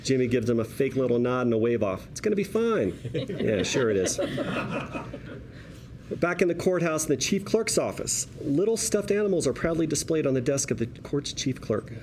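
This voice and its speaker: A man's voice: mid-range voice, big pitch swings, moderate pace. Man narrating a screenplay, noisy room. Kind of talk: narrating a screenplay at table read.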